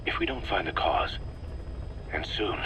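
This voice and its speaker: An actor playing a stern, suspicious military commander in a video game, giving briefings in an emphatic, clipped way. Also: male voice, low voice, animated, a little slow, background noise. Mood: grave, accusing.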